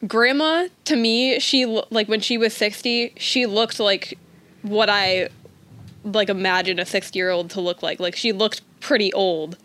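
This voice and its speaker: A woman's voice: medium pitch, a little slow, a bit bright. A woman of twenty, a podcast host, chatting casually and rambling with lots of "like". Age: 20s. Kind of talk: casual chat.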